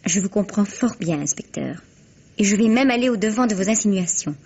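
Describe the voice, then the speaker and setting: A proud, assertive young actress in an old radio mystery. Her delivery is theatrical, precise and insistent, with a husky edge. The recording is dull and lo-fi, like vintage broadcasts. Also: medium pitch, animated, a little fast, a little mellow. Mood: proud, cool. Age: young adult. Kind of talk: 1950s French radio mystery acting.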